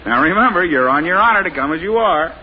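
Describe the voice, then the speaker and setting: An American actor playing a hearty, jokey, theatrical neighbor in a 1950s radio comedy. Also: male voice, sits high, animated, fast talker. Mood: jovial. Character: hearty, jokey male neighbor, grown man. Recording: muffled, noisy old radio recording.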